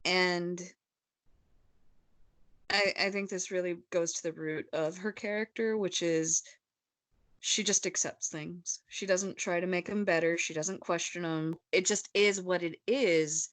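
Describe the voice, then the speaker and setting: A woman's voice: on the low side, steady tone, a little slow, a bit bright. A woman co-hosting a casual book discussion, making her points bluntly and emphatically. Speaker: podcast co-host.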